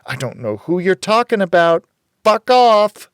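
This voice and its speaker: Casting: male reader as brash, scheming biker woman for an audiobook, foul-mouthed with a false sweetness, pitched well up, dry sound. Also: very animated, unhurried, a bit bright, smooth, no echo, heavily acted. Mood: hissing, hostile. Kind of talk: audiobook character voice.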